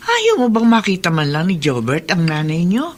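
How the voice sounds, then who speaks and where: An anxious, pleading Filipino neighbour in a radio drama. The voice runs high for a man and leaps dramatically up and down. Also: huge pitch swings, normal speed. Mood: concerned, pleading. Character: concerned, pleading older neighbour, respectfully addressed as auntie.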